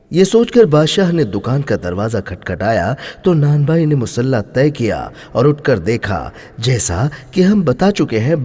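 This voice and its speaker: Husky, reverberant male storyteller. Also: mid-range voice, darkish tone. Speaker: storyteller and narrator. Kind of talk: scripted moral story narration. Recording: long hall-like reverb.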